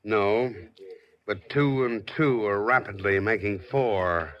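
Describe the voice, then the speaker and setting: An actor playing a wary, wisecracking private investigator in an old radio crime drama, with clipped, skeptical lines. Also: male voice, on the low side, slow. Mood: cool, probing. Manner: clipped, skeptical, dry tough talk. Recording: muffled vintage radio recording.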